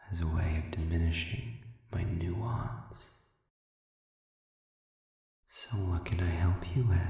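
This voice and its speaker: A man playing a sadistic, silver-tongued demon prisoner in an audio roleplay, speaking in formal, mock-courteous phrases with a breathy, whispery menace. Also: low voice, mellow. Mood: sly, probing. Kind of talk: scripted audio roleplay addressed to the listener. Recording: very echoey, cavernous reverb, distant.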